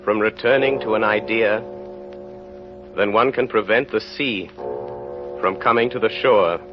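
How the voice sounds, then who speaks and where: An actor playing a solemn literary narrator of a tragic tale, grave and oratorical, in vintage radio drama style. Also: male voice, lowish voice, a little slow, close to an everyday voice. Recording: muffled vintage radio recording, music behind.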